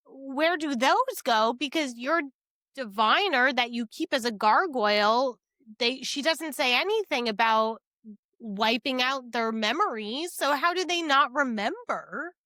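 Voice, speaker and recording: A woman's voice: high-pitched, animated, slow, bright, forceful tone, no room sound, studio quality. A woman co-hosting a book podcast in casual conversation, puzzling things out aloud with questions. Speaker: podcast co-host reviewing books.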